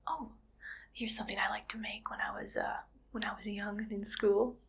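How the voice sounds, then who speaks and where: A woman playing a quirky, friendly art teacher in a soft, whispered ASMR roleplay, speaking in a gentle, unhurried murmur. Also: medium pitch, fairly even intonation, creaky, noisy background, lightly acted. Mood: soft, encouraging. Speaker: ASMR performer.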